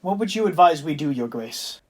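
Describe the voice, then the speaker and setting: Male actor for lovestruck lieutenant, period audio drama; high voice, earnest, aggrieved, stagey and formal. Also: animated, normal speed. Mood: solemn, resigned. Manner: declamatory, formal period diction. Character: earnest, aggrieved young gallant lieutenant in love.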